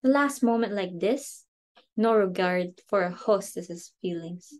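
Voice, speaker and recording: A woman's voice: mid-range voice, lively intonation, a little slow, darkish tone, close to an everyday voice. A woman playing an imperious, short-tempered, status-obsessed society hostess and mother in an amateur play reading, with an indignant, theatrical delivery. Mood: furious, scolding.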